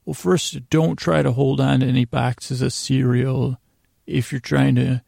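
Man, gravelly fry, dry close sound, meandering sleep podcast host, halting, lighthearted, absurd tangents. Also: medium pitch, level tone, a little mellow, no room sound. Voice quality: very creaky, gravelly. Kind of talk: rambling bedtime monologue, meant to lull listeners to sleep.

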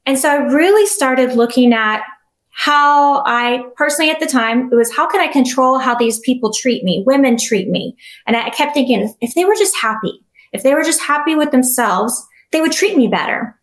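A middle-aged woman with a soft voice, telling her personal story as an interview guest, earnest and reflective with many restarts. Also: sits high, animated, normal speed, reverberant.

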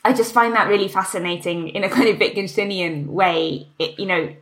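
A woman's voice: medium pitch, brisk, fairly bright tone. A woman, a translator and writer, answering interview questions in a reflective, searching way, often restarting her sentences and laughing lightly. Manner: thoughtful, searching, many restarts and "you know"; laughs lightly while talking.

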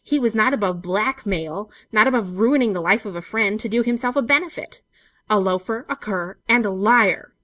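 A woman's voice: mid-range voice, animated, fairly quick, fairly bright tone, dry recording, far from the mic, voice pushed up. A woman reading a story aloud, voicing a protective, brooding man who is earnest, intense, tender and bitter. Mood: bitter, sharp.

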